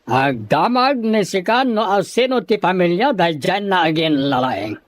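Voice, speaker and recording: An actor playing a haughty, insulting boss who scolds with contempt, in Filipino radio drama style. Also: male voice, high voice, big pitch swings, normal speed. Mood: contemptuous.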